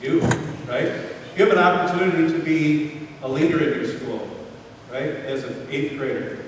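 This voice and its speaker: A man's voice: on the high side, moderate pace. A man giving a motivational talk to young students, often tossing questions at them and checking in with a "right?". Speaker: speaker addressing students. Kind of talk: motivational talk to eighth graders. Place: large echoey room with an audience. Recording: echoey hall, far from mic, faint noise.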